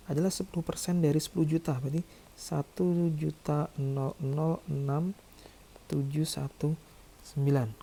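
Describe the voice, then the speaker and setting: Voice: medium pitch, gravelly, mellow, husky. A lecturer teaching in a tutorial style, talking through figures step by step with frequent check-in tags. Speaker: accounting lecturer. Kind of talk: tutorial narration, working through figures aloud.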